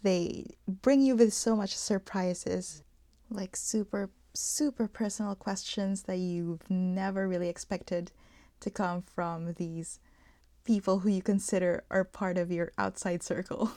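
A young woman from the Philippines co-hosting a casual podcast chat, relaxed and chatty with some hesitant pauses. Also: medium pitch. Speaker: podcast co-host.

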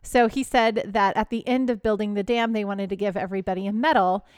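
A woman in her thirties from Texas co-hosting a podcast in casual chat, giggly and quick to gasp and react. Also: medium pitch, steady tone, clean tone, no echo, clean studio sound. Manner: giggly, gasps and reacts loudly. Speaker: podcast co-host.

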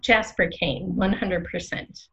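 A woman's voice: lowish voice, steady tone, slow, fairly bright tone, lo-fi sound. A woman playing an opinionated, theatrical co-host of a theatre prediction show, speaking with emphatic, rhetorical phrasing in scripted banter. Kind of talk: scripted podcast banter and commentary.

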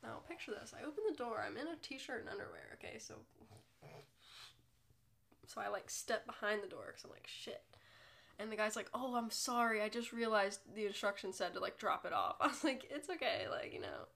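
A woman's voice: a little high, a little fast, vocal fry, fairly bright tone. A young woman hosting her own podcast, congested from illness, chatting casually in a rambling, self-deprecating way. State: congested from a cold. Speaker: podcast host. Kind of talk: casual solo podcast chat.

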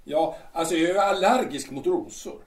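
A man's voice: on the high side, reverberant, distant mic, lightly acted. A man acting a vain, brash male musical star in an audio drama, who stammers and keeps asking "va?". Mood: gossipy. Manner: boastful, stammering, ends lines with "va?". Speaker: musical star Peter in his dressing room.

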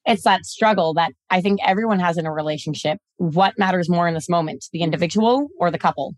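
A woman hosting an interview, talking quickly and summarizing her guest's points. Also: on the low side, no room sound, studio quality. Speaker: podcast host. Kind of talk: conversational interview.

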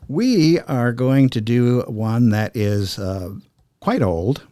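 A man hosting a podcast in a chatty, halting, explanatory way, sometimes reading verse aloud. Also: medium pitch, animated, warm tone, no room sound. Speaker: podcast host. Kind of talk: casual podcast talk and poem reading.

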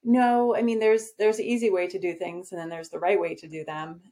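A woman's voice: lowish voice, little pitch movement, fairly quick, dark tone. Confident, direct woman in casual conversation. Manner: direct, confident, with frequent "um" fillers. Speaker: podcast guest, agency owner.

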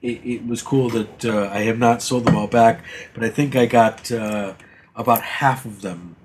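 A man's voice: medium pitch, steady tone, normal speed, background noise. A man co-hosting a casual gaming podcast, rambling through his week with many fillers and restarts and teasing the others. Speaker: podcast co-host.

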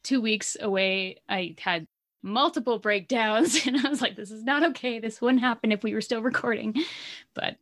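A woman's voice: a little high, normal speed, fairly bright tone. A woman with a Canadian accent co-hosting a podcast, chatting casually and laughing often. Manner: giggly, chatty, lots of "like". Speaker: podcast co-host. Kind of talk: casual chat.

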